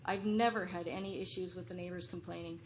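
A woman's voice: lowish voice, fairly even intonation, fast talker, noisy background. A middle-aged woman reads an earnest, pleading prepared statement as a public commenter at a podium microphone in a large council chamber. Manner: earnest, pleading, read from notes.

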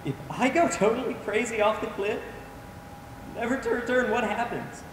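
A young man acting in a stage play as a dying, confused patient, tender and frightened, speaking haltingly. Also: very high voice. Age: young adult. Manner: halting, repeats words. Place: on a theater stage, distant camera. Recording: echoey theater hall from far off, noisy.

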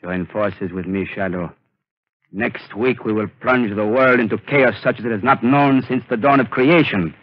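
An actor playing a scheming male mastermind in a 1930s radio drama, speaking slowly and with menace. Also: lowish voice, no room sound, natural voice. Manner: slow, menacing, measured. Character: scheming male mastermind bent on ruling the world. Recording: muffled old radio broadcast.

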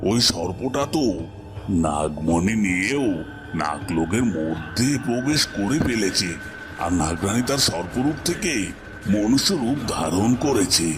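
A man's voice: sits low, lively intonation, a little slow, background noise. A man voicing a scheming, impatient evil sorcerer in a cartoon, with brooding, melodramatic menace. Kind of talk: melodramatic cartoon villain monologue.

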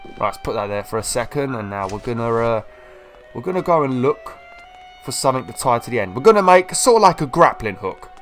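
A man's voice: mid-range voice, a little fast, faint music behind. Chatty man, sniffly let's-play. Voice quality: chatty, excitable, sniffly from a cold. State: slightly ill, sniffly. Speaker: gameplay video host. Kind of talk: casual let's-play commentary.

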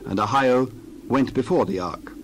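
A man narrating a dramatized Bible passage in a steady reading voice. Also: medium pitch, normal speed, a little hiss or hum, poor audio. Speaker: scripture reader.

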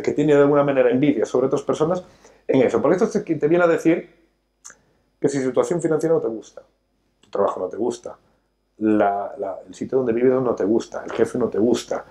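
A man's voice: mid-range voice, warm tone. Guy in his 30s, talk show studio, preaching his point hard, gesturing a lot. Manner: emphatic, lecturing, gestures a lot while talking. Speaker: financial educator, show host. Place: studio set, seated on a sofa.

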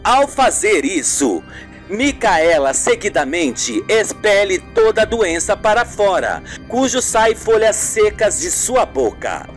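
Slow-paced Brazilian radio soap narrator. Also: medium pitch, sing-song, faint music behind.

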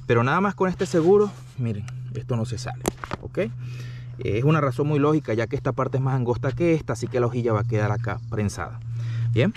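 A man's voice: mid-range voice, expressive, quick pace. A man presents a hands-on gear review in a casual, explanatory way. He often checks that the listener is following. His mid-range voice sits slightly away from the microphone, with faint noise behind it. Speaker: product reviewer, channel host. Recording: distant mic, faint background noise.

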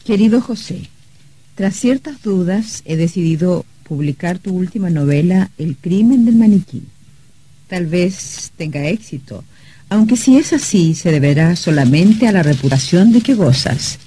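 A polite secretary in an old radio drama, husky mellow voice, very proper and deferential. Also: female voice, fairly low pitch, lively intonation, a little slow, poor audio. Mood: careful, formal. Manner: formal, courteous.

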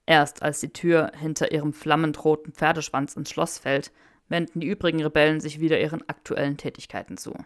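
A woman's voice: deep voice, little pitch movement, a little fast. A woman reading a fan fiction story aloud in first-person narration. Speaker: audiobook reader.

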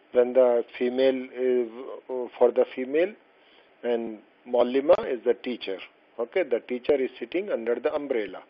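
Male language tutor, not a native speaker of Arabic, mid pitch, patient and halting with fillers, dull lo-fi voice-over. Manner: slow, patient explaining with many fillers and restarts. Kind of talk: explaining a textbook lesson. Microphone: voice-over over slides.

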